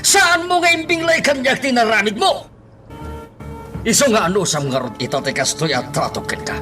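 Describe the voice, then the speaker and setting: A man's voice: sits high, sing-song, normal speed, pushed and bright, faint music behind, exaggerated voice. A man acting a bitter, angry husband who blames his wife in an Ilocano radio drama, in an accusing, explosive manner. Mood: furious.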